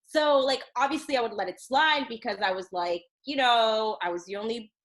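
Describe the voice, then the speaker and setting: A woman talking on a podcast as a guest, an animated storyteller who laughs often and speeds up in bursts. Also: on the high side, moderate pace. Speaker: podcast guest, youth journalism program director. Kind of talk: casual interview chat.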